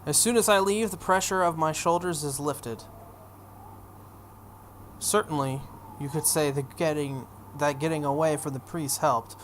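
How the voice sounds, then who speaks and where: Male let's player, slightly higher voice, narrates game text with casual asides, faint noise behind. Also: measured pace. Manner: stumbles over written text. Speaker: let's player reading a visual novel aloud. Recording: noticeable background noise.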